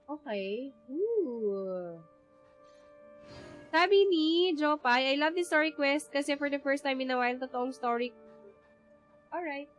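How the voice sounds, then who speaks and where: A woman's voice: high-pitched, expressive, unhurried, pauses often, faint music behind. A young woman with a Filipino accent streaming a game, chatting in a rambling way with many fillers. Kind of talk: live gameplay commentary.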